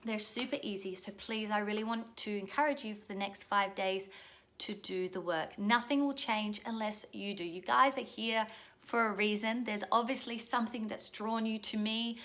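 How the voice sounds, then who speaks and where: A woman whose voice is going hoarse, giving an earnest, passionate, informal talk as a coach, often checking in with a questioning "yeah?". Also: medium pitch, fairly even intonation, moderate pace, fairly bright tone. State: hoarse, losing her voice. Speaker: pleasure coach. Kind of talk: informal motivational video talk.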